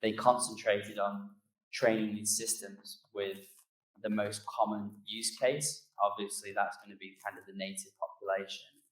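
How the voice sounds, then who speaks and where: A man gives an informal conference talk in a fairly low voice. His intonation stays very flat as he explains things in a loose, conversational way. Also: normal speed, rough recording. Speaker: conference speaker. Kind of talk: informal presentation and audience Q&A.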